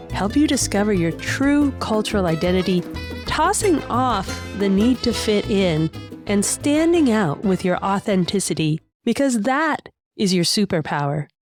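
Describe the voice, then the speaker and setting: A woman's voice: medium pitch, animated, moderate pace, over music. A woman hosting a podcast, upbeat and mixing in Spanish words as she introduces her guest and asks questions. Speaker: podcast host. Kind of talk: scripted intro then interview.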